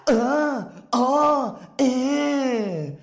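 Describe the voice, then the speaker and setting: A man playing an excitable, boastful young Wing Chun fanatic in a Cantonese comedy radio drama. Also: extremely high pitch, extremely slow, creaky, exaggerated voice. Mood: frenzied, yelling. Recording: roomy echoing sound.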